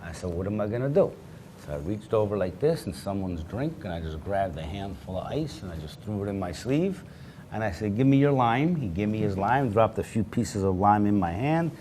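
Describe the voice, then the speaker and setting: A man's voice: lowish voice, slight background noise. A man who is a magician, telling stories and showing tricks in a casual chat. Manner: storytelling, animated, quick to laugh. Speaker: magician guest.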